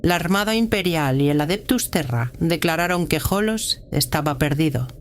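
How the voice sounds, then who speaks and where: A woman's voice: sits low, animated, moderate pace. Woman acting grave, guarded prioress, noisy backdrop. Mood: grave, informative. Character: prioress of a militant religious order, grave and guarded. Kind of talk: dramatized sci-fi audiobook dialogue.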